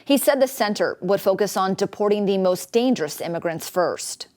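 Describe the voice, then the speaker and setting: A woman's voice: medium pitch, lively intonation, normal speed, darkish tone, soft background music. A woman with an American accent delivering scripted news narration as a reporter.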